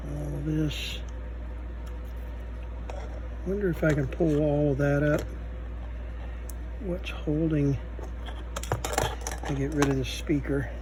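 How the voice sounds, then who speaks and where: A man giving unscripted hands-on repair commentary, halting and thinking aloud as he works. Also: fairly high pitch, fairly even intonation. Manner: halting, muttering thoughts aloud while working. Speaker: vintage electronics repair video host. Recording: faint background noise.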